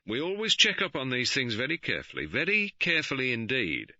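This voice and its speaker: A man plays a stolid, respectable police superintendent in middle age who speaks with measured, dry insistence. His voice is bright and a touch raised. The recording is dry and dull. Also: mid-range voice, wildly swooping pitch, no room sound, pitched above the performer's own voice, exaggerated voice.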